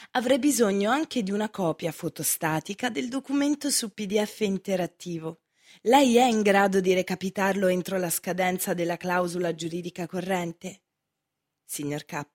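An officious secretary in a radio play, played by a woman, formal and bureaucratic. Her voice is on the low side and sounds close and dry as she works through clerical phrases. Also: no room sound, close to an everyday voice. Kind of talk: theatrical radio drama.

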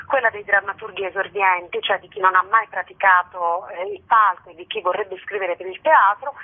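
Over a narrow, tinny telephone line, a woman speaks at a medium pitch. She is a radio interview guest who explains her work in articulate, wordy sentences. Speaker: interview guest, festival curator.

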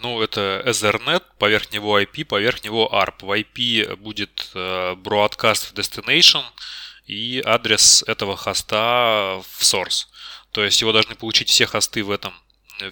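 A man's voice: fairly low pitch, bright, forceful tone, no room sound, clean studio sound. A man answering technical interview questions as a job candidate, hesitant and often correcting himself. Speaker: job candidate, network engineer.

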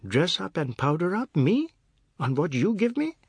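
A man's voice: high-pitched, sing-song, moderate pace, husky, no echo, clean studio sound, voice pushed up, exaggerated voice. A man reading a novel aloud, voicing an impatient wife who talks bluntly and scolds. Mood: indignant.

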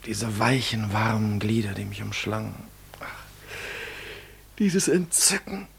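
Radio play: a naive, easily enraptured student, gravelly and fairly low, theatrical. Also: male voice, sing-song, takes it slowly, slight background noise, heavily acted. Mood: dazed, rapturous. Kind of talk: classic German radio play acting.

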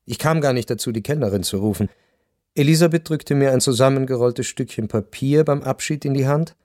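A man narrating a first-person literary radio drama in a measured, reflective way. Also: medium pitch, darkish tone, dry recording, studio quality.

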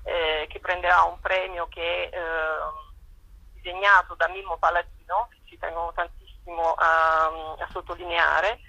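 A woman's voice: fairly low pitch, flat delivery, measured pace, creaky, pushed and bright, husky, no room sound, rough recording. A woman interviewed by telephone as a festival director, explaining and listing details with hesitant repetitions.

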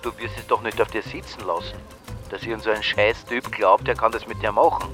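An actor playing a cheeky, morbidly curious Austrian friend in a radio drama, sardonic and slangy. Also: male voice, fairly low pitch, steady tone, brisk, a bit bright, soft background music, natural voice. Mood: egging on. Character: cheeky, morbidly curious slacker friend, café bar type.

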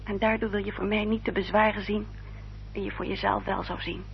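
A woman acting an earnest, dutiful young upper-class lady in a radio drama, speaking formally and with careful, measured diction. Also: medium pitch, little pitch movement, creaky, lightly acted. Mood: pleading, resolute. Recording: dull, noisy old radio recording with hum.

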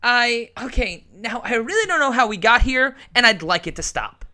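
Woman doing a young dj guy, polite n flustered, bright lively voice, music faint behind. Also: fairly high pitch, moderate pace. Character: polite young male alt-rock radio DJ. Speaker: radio host.

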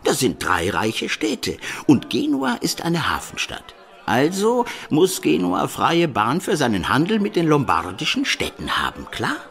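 A man's voice: a little high, huge pitch swings, measured pace, vocal fry, a bit bright, exaggerated voice. An actor playing a shrewd, earthy medieval townsman in a radio play, explaining things emphatically to a friend. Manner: emphatic, explanatory, checking the listener follows.

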